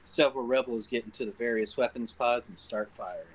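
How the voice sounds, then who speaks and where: A man's voice: mid-range voice, brisk, breathy edge, poor audio. A man serving as narrator, reading screenplay stage directions at a table read.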